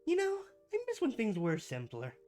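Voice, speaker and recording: Guy doing a hammy bumbling supervillain for an asmr roleplay, pompous, pitch all over the place, music behind. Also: huge pitch swings, moderate pace, mellow. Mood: chatty, concerned. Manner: pompous, melodramatic, swinging into chatty asides. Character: theatrical, bumbling supervillain, gloating yet secretly caring rival. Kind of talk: scripted ASMR roleplay monologue.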